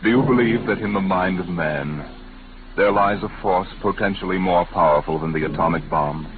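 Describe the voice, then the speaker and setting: A man narrating a 1950s radio drama in a grave, deliberate, portentous style. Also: low voice. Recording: tinny old radio recording, loud music. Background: loud music.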